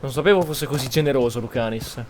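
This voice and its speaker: A man's voice: mid-range voice, very fast. A man with an Italian accent giving casual let's play commentary as a gaming streamer.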